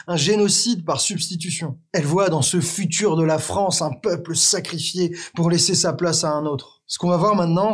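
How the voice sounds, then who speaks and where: A man hosting an educational video, narrating a script in a clear, teacherly way with the odd wry aside to the viewer. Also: on the high side. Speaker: explainer video host.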